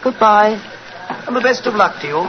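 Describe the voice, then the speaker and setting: Swooping, sardonic gentleman villain. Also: sits high, expressive, normal speed. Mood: taunting. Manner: flippant, taunting, theatrical. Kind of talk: 1940s radio drama acting. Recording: muffled, hissy 1940s radio broadcast.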